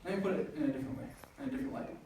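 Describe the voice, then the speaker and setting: A man's voice: sits high, big pitch swings, rapid-fire, warm tone. Young man speaking in an echoey hall. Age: 20s. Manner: earnest, with frequent uh fillers. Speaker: speaker at a student business event. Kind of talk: motivational speech. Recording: echoey hall recording from far off, noisy.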